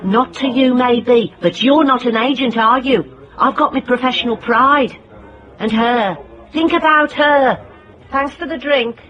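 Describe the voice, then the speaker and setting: An actress playing a tough, determined private investigator in a radio drama, dry and wry. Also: female voice, high-pitched, unhurried, a bit bright, highs cut off. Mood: insistent. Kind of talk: radio drama acting with first-person narration.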